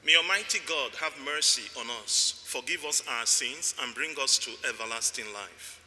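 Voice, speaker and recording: A middle-aged man, a priest, leading a church service in slow, measured liturgical recitation at a lectern mic in a large church. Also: mid-range voice, sharp tone. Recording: church reverb.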